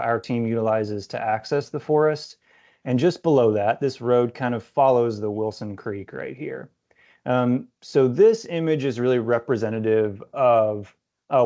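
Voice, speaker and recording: A man giving an informative slide presentation in a measured, explanatory way, with a voice that is starting to go. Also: mid-range voice, darkish tone. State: losing his voice, clearing throat. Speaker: conservation staff presenter. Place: virtual summit video call. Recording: dull lo-fi online call audio.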